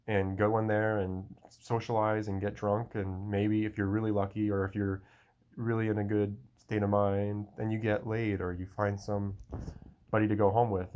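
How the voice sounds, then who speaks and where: A young man talking in a casual solo monologue, rambling and restarting often. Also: on the low side. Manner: rambling, frequent restarts and fillers.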